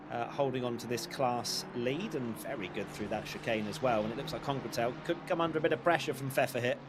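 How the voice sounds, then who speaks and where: A man commentating a live sim race in a brisk, upbeat way. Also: medium pitch, background noise. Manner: brisk, upbeat, laughs easily.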